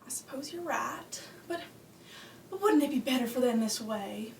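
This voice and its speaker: Radio play: woman as protective, subdued widowed mother in poor health, high register, heard at a distance from the mic. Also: lively intonation, normal speed, a little hiss or hum. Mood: resigned, worried. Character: ailing, resigned, protective widowed mother, 1940s America. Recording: roomy, distant mic, faint music.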